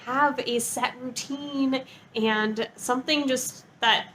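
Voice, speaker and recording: High-voiced woman chatting casually about books. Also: fairly even intonation, slow pace, fairly bright tone, a little hiss or hum. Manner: bubbly, gushing, informal. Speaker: book reviewer and vlogger. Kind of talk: casual chatty book review.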